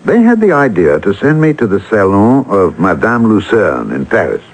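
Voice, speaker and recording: An eager, stammering artist in a vintage radio drama. He has a low, husky voice that leaps about wildly in pitch. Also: sing-song, moderate pace. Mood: amused, confiding. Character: earnest, flustered, handsome starving artist telling his tale. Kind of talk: old-time radio acting.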